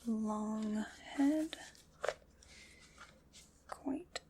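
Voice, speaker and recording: A woman whispering as a calm, polite armor fitter who is taking careful measurements in an ASMR roleplay. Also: a little high, noisy background. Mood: gentle, attentive. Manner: soft whispering, slow and attentive.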